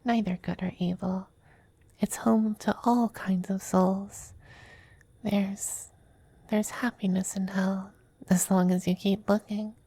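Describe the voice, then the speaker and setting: Woman as a soft, slow, whispery demon femboy. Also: mid-range voice, level tone, no room sound. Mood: tender, calm. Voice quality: soft, breathy, slipping into whispers. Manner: slow, hesitant, gently coaxing. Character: tender, possessive male demon femboy with wings and tail. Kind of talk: intimate ASMR roleplay, often whispered.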